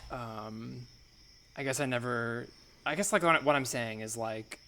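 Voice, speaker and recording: A man improvising a naive, falsely modest amateur thinker in a comedy podcast, musing in a halting, hedging way. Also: medium pitch, sharp tone. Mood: dazed, awakening.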